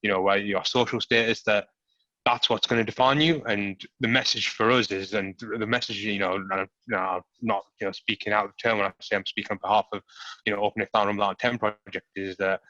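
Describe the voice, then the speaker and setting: Man, Middlesbrough accent, host, earnest, filler-heavy, bright flat voice, dull dry call audio. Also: fairly low pitch, brisk, dry recording. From Middlesbrough, England. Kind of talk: casual chat on a video call. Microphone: video call.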